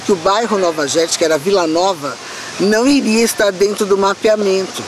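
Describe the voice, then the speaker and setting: A man's voice: high voice, steady tone, moderate pace. A man in a documentary interview, reflectively recounting the history of his neighborhood. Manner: reflective storytelling. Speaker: community member interviewee. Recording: clear background noise.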